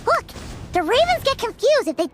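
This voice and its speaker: A woman voicing a tiny, excitable, childlike female fairy companion in an anime game. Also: normal speed. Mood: excited. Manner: squeaky, excitable, refers to self in third person. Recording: music behind the voice.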